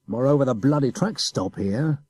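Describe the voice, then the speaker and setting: A man's voice: medium pitch, expressive, moderate pace, darkish tone, slightly rough, voice pushed up. A man voicing a blustering middle-aged soldier who threatens and chuckles as he bullies a boy. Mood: angry threatening. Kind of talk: audiobook character voice.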